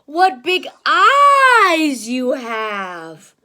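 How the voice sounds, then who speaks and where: A woman's voice: sits high, huge pitch swings, extremely slow, pushed and bright, exaggerated voice. A woman voicing a sweet, innocent little granddaughter in a storybook, exclaiming in wonder with stretched-out words. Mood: distressed wonder.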